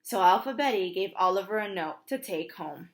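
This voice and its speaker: A woman's voice: mid-range voice, expressive, moderate pace. A woman preschool teacher reads a storybook aloud for young children, expressively, with simple asides to her listeners.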